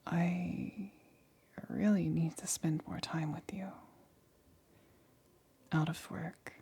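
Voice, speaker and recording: A nervous, lonely lab assistant, acted by a woman in an ASMR roleplay. Her voice is a low, very breathy whisper with a soft, mellow tone. She speaks haltingly and intimately, stumbling over words as she clings for company. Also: fairly even intonation, heavily acted. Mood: woozy, affectionate. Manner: halting, hesitant, stammering. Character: anxious, lonely female lab assistant growing woozy and clingy.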